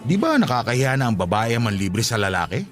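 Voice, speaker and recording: A man's voice: medium pitch, very animated, a little fast, a bit bright. A middle-aged Filipino father in a radio drama, his voice leaping up and down wildly. He is teasing and sure of himself, yet doting, with faint music underneath. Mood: teasing, insistent.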